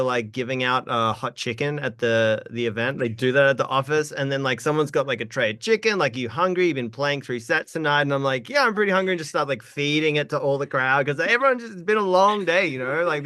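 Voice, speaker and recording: A man's voice: a little high, fast, few pauses, clear, resonant tone, no room sound, studio quality. A young man, a musician, casually rambling through stories in an interview with lots of filler words. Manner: relaxed, rambling, lots of likes. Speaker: guest musician.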